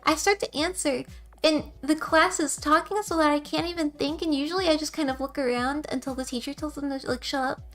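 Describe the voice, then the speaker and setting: A young woman streamer with a soft, quiet voice, chatting casually with her viewers in a rambling, giggly way. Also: high voice, steady tone, a little fast.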